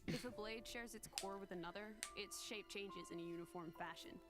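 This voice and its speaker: A calm, composed young female companion from a video game, voiced by a woman, explains things in a measured, even way. Her voice sits mid-height, with clear game music playing behind her. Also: mid-range voice, lightly acted.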